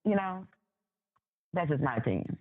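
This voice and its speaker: A woman's voice: deep voice, expressive, normal speed. A woman interview guest giving her opinions conversationally, repeating phrases for emphasis. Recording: thin phone-like line.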